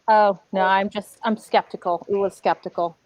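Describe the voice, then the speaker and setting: A woman's voice: medium pitch, fairly even intonation, moderate pace, darkish tone, no echo, rough recording. A woman chatting casually as a player in an online tabletop game. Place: online voice call.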